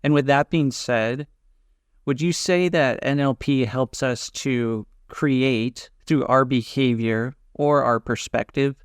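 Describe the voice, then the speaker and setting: A man hosts a podcast and asks his questions in an upbeat, scripted way. His voice is mid-pitched, smooth and clear, recorded dry and close. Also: dry recording. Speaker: podcast host. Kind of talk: podcast interview hosting.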